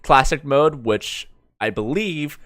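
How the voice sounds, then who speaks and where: A man giving casual, halting live commentary as a streamer reviewing a comic, often repeating words mid-thought. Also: medium pitch, lively intonation, a bit bright, no room sound, studio quality.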